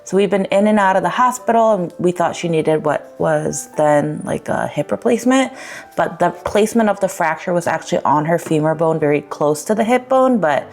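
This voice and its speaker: A young woman giving a candid personal vlog update, rambling a little with fillers, her voice slightly raspy. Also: lowish voice, lively intonation, moderate pace, darkish tone, soft background music. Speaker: vlogger.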